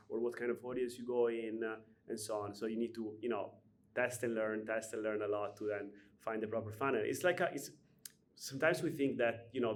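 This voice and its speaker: Man hosting, halting and chatty. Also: fairly low pitch. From Albania. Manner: hesitant, many fillers, laughs often while telling stories. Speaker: podcast host. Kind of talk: casual conversational interview.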